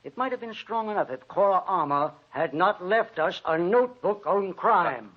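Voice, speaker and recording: No-nonsense radio cop, curt and suspicious, slightly high voice, muffled old sound. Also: male voice, expressive, moderate pace. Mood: accusing. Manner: curt, skeptical. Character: brisk, hard-nosed police officer grilling a suspect. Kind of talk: 1940s radio drama acting.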